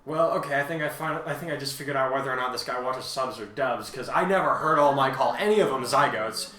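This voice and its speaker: A man's voice: medium pitch, quick pace, bright, forceful tone. A man chatting casually as a co-host, explaining himself in an emphatic, defensive, rambling way. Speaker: fan fiction author and co-host. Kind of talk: casual conversational commentary on listener reviews. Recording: roomy, echoey home recording, distant mic.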